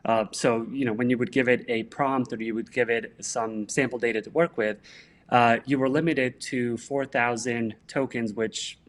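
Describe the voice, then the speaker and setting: A man from Bosnia, a guest on an interview show, explaining technical ideas in a steady, conversational way. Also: mid-range voice, normal speed. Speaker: guest, tech director.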